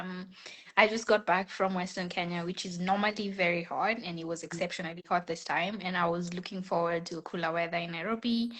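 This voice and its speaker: A woman's voice: on the low side, a bit bright. A woman guest chatting casually and expressively, with a jokingly protesting way of talking. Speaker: panel guest. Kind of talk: casual chat.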